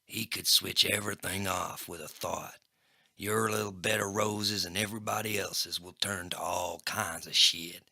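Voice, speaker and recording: A man's voice: low voice, fairly even intonation, measured pace, creaky, pushed and bright, no echo, clean studio sound, full character voice. A man voicing a menacing, foul-mouthed gangster villain in a comedy sci-fi audio play, sneering and coldly threatening. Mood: cold menace.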